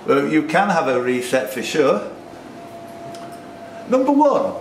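Older quizmaster, lively pitch swings. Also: male voice, takes it slowly, slight background noise. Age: older, around 60s. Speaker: quiz master. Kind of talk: reading quiz questions with banter. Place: pub, indoors. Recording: echoey pub, distant mic, music behind.

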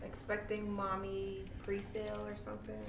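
A young woman chatting casually at home, soft-spoken and trailing off with lots of "like". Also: mid-range voice, completely flat delivery, moderate pace, vocal fry, reverberant, music in the background. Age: young adult. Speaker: vlogger's wife. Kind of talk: casual conversation. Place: house indoors.

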